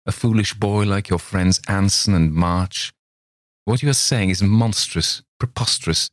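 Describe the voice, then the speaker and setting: A man reading a novel aloud, voicing a stern, priggish gentleman who lectures in a measured, disapproving way. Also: fairly low pitch, dry recording, studio quality, pitched above the performer's own voice, close to an everyday voice. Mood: cool. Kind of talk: audiobook character voice.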